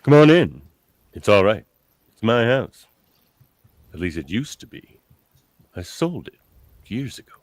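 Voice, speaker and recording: A man playing a brisk, commanding older starship captain in an amateur radio play, with theatrical emphasis. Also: fairly low pitch, very animated, no room sound. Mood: brisk, casual.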